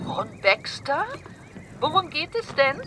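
Guarded lady at the gate in a radio play, high sharp voice, brisk, faint noise behind. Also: big pitch swings, full character voice. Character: guarded woman answering her gate intercom.

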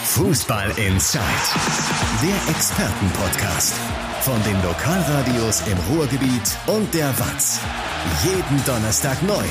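Male announcer over loud jingle music. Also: medium pitch, big pitch swings. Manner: slow, emphatic promo delivery.

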